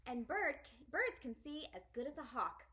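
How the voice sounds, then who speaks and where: A woman's voice: sits high, very animated, normal speed, sharp tone, pitched above the performer's own voice, heavily acted. A woman voicing an exuberant, chatty little girl from a storybook, with emphatic, childlike phrasing. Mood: excited, worried.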